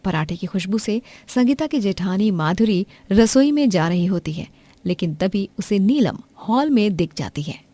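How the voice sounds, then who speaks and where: A woman's voice: medium pitch, animated, normal speed, warm tone, no echo. Woman narrating a cartoon story.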